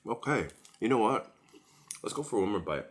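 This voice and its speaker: A man's voice: on the low side, very animated, moderate pace. A man reviewing a sandwich while eating it, chatting casually and recovering from a cold, recorded at home on a camera-mounted mic. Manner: chatty, rambling, leisurely tasting commentary. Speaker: food vlogger. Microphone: camera-mounted shotgun mic.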